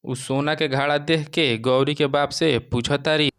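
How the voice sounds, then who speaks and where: A man's voice: a little high, fairly even intonation, unhurried, fairly bright tone, smooth. A man with a Bhojpuri accent narrating a scripted moral story.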